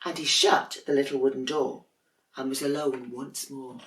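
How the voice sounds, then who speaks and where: A woman, a teacher, reading a children's storybook expressively with dramatic pauses in an echoey room. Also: extremely low pitch, fairly even intonation, background noise.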